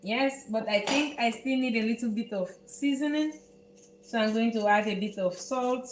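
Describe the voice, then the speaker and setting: A Dutch woman with a Dutch accent, speaking English as her second language, hosting a live cooking tutorial from her home kitchen in an unhurried, halting way that repeats words as she works. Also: fairly high pitch, reverberant, slight background noise. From Netherlands. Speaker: home cook host.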